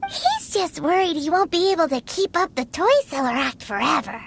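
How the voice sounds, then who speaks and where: A sassy tiny fairy companion. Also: female voice, high-pitched, expressive, vocal fry, a little hiss or hum. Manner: sarcastic, quick remarks. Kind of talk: video game voice acting.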